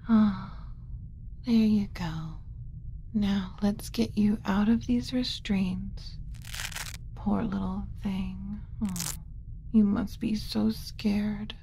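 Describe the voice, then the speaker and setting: Lady playing a kind flustered alien mommy, asmr style, mellow slow cooing, half whispered, some background ambience. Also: medium pitch, creaky, slight background noise. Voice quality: soft, often dropping to a whisper. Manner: gentle, cooing, hesitant muttering to herself. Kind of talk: soft-spoken ASMR roleplay.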